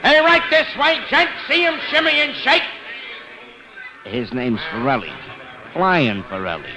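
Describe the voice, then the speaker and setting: An actor playing a brash circus barker with showy, sing-song patter in an old radio drama. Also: male voice, sits high, lively intonation, a little slow. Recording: muffled old radio recording with music.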